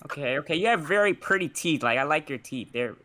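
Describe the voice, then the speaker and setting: A man's voice: a little high, expressive, fast talker, pushed and bright. A congested man from Florida asking awkward questions over a video call. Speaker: dating show contestant, part-time streamer. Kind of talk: casual chat on a video call.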